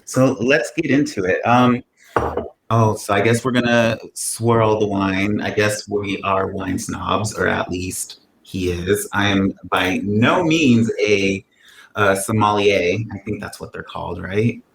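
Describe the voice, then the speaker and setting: Sarcastic, slow-talking male co-host. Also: fairly low pitch, gravelly, a bit bright. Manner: playfully sarcastic, mock-bitter. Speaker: podcast co-host. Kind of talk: casual chat. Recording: echoey room, faint ambient noise.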